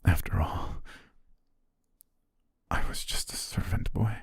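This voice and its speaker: A man playing a possessive, lovestruck butler in an ASMR romance roleplay, speaking formally in a hushed, breathy voice. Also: slow, no echo, clean studio sound, close to an everyday voice. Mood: wounded, sorrowful devotion. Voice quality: husky, breathy, near-whisper. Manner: formal, ornate, hushed diction.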